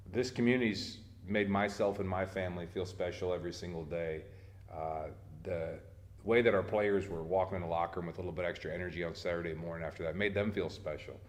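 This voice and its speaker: A man's voice: sits low, roomy sound. A man answering interview questions as a head baseball coach, earnest and often restarting his sentences. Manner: earnest, repeats words when starting sentences.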